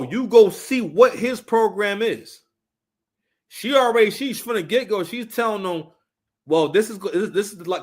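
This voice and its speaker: Man, thirties, fairly high voice, casual live-stream host, emphatic, repetitive phrasing, salty language. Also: a bit bright. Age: 30s. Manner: emphatic, repeats phrases, frequent swearing. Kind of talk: casual, unscripted opinionated commentary.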